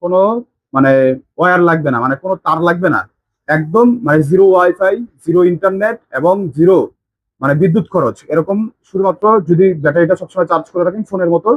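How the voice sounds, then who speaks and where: Very fast-talking Bangladeshi man, slightly high voice. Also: no room sound, rough recording. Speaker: shop presenter demonstrating products. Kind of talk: informal sales demonstration.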